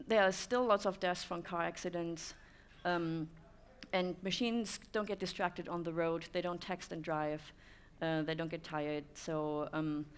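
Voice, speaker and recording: A woman's voice: lowish voice, clear, resonant tone. A German woman in her thirties giving a conference talk to an audience, thoughtful, with many "um" fillers. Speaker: speaker. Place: dim stone-walled room with audience.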